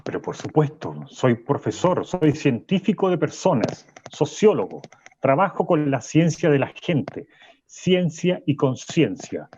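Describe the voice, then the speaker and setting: A man's voice: on the high side, sing-song, a little slow, darkish tone, slightly rough, muffled recording, exaggerated voice. A man playing a pompous, condescending sociology professor who lectures self-importantly in a radio drama. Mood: lofty.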